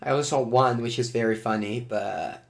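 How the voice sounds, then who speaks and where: A man chats loosely on a podcast in a mid-range voice. He often pauses on "uh" and restarts his sentences as he looks for words. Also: mid-range voice. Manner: halting, with many "uh" restarts. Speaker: podcast co-host. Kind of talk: casual chat.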